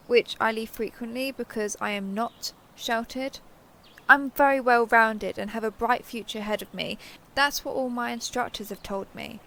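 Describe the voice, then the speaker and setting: A woman's voice: fairly high pitch, gravelly, a bit bright, slight background noise. A woman playing a sheltered young female alien, naive and obsessively devoted, talking earnestly and intimately to the listener in an audio roleplay. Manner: earnest, wheedling, over-explaining.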